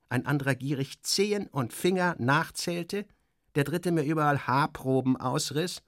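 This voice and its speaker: A man's voice: fairly high pitch, dry recording, clean studio sound. An actor playing a sardonic, self-assured journalist, narrating his travels in a literary radio drama with wry, theatrical, clipped phrasing. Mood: wry, agitated. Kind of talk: literary first-person narration, dramatic audio reading.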